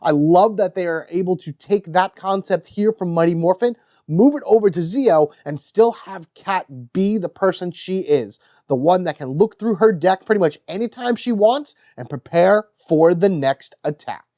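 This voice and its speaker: A man's voice: high voice, expressive, normal speed, warm tone, no echo, studio quality. A man with a nasal, stuffed-up voice from a cold, chatting enthusiastically through a card-by-card board game breakdown and reading card text aloud. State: congested with a cold.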